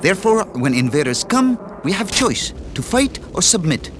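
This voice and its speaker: A man's voice: sits high, very animated, normal speed. An actor playing a proud, fatalistic male mountain fighter, telling his family's history in broken English over noisy game audio. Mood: solemn. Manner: solemn, broken English storytelling.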